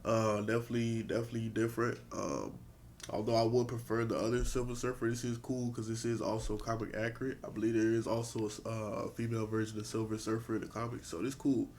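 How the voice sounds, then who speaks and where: A man's voice: lowish voice, level tone, fast talker, darkish tone. Stuffy-nosed man reacting casually. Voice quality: stuffy nasal. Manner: slangy, laid-back, chatty. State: stuffy, congested from a cold. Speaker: reaction video host.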